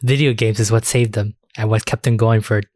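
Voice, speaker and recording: A man's voice: on the low side, fairly even intonation, fairly quick, darkish tone, dry recording, studio quality. A man hosting a casual anime discussion podcast, chatting informally with plenty of filler words and swearing. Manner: informal, profanity-laced, filler-heavy talk. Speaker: podcast host.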